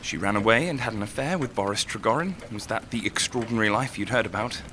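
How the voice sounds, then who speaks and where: An actor playing a moody, embittered young writer in an audio drama, speaking with hesitant restraint. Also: male voice, on the low side, quick pace, natural voice. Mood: guarded, bitter. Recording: clearly noisy background ambience.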